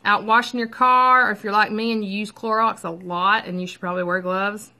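A woman's voice: medium pitch, steady tone, a little fast, sharp tone. A woman casually reviewing a product at home, chatty and upbeat, rambling with fillers and asides. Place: at home with her child nearby.

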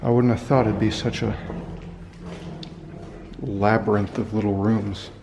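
A man narrating his own exploration of abandoned buildings in a dry, wry, deadpan way, filmed on a handheld camera. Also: lowish voice, unhurried, creaky, noisy background. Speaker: urban explorer vlogger. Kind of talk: casual solo exploring commentary. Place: abandoned buildings and overgrown fields, indoors and outdoors.

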